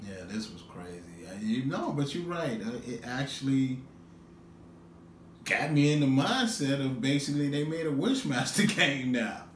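A man's voice: animated, moderate pace, recorded from a distance. A middle-aged man hosting a casual, playful reaction video at home, recorded from a camera a few feet away. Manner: giggly, breaks into high laughter. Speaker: YouTube reaction host. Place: room at home, indoors. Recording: echoey room, faint background noise.

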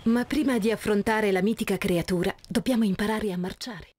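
A woman's voice: medium pitch, a little fast, a little mellow, dry recording, lightly acted. A woman voicing an instructive storyteller in scripted video game tutorial narration. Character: instructive storyteller guiding the player.

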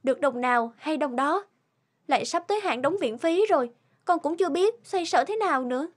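A woman voicing a sorrowful, devoted grown daughter in an animated morality tale, earnest and plaintive. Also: sits high, a little fast. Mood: worried. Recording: music behind the voice.

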